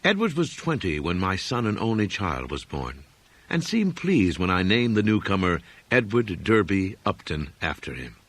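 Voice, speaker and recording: A man reading a literary horror story as an audiobook narrator, in a formal, measured storytelling manner. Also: sits low, big pitch swings, no echo. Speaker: audiobook reader.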